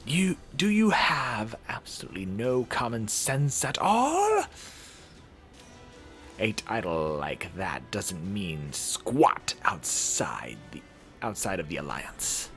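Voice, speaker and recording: A man's voice: on the low side, wildly swooping pitch, takes it slowly, a bit bright, faint music behind, full character voice. A man voicing a brash, short-tempered young fighter in a visual novel, threatening and taunting. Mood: angry, taunting.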